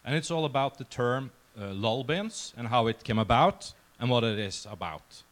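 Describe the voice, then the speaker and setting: A man's voice: mid-range voice, normal speed. Norwegian man giving a casual talk. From Norway. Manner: informal, conversational, self-deprecating. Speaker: conference speaker. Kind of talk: casual conference presentation. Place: conference session room before a crowd.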